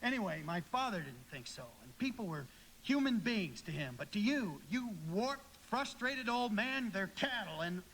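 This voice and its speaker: A man's voice: sits high, big pitch swings, moderate pace, fairly bright tone, heavily acted, poor audio. An actor playing an earnest, folksy young small-town man in a live radio play, stammering and rambling as he goes. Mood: indignant. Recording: live stage recording with background noise.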